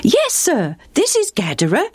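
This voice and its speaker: A woman voicing an eager, polite young village boy who chatters brightly in a children's story. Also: sits high, huge pitch swings, unhurried, a crowd in the background, pitched above the performer's own voice, full character voice.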